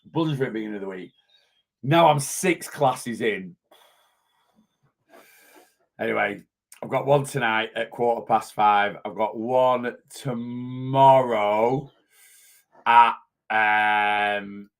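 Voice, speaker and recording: A man, a weight loss coach chatting casually in a vlog, a little hoarse from losing his voice and rambling as he goes. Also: medium pitch, slow, pauses often. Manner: chatty, rambling, self-interrupting.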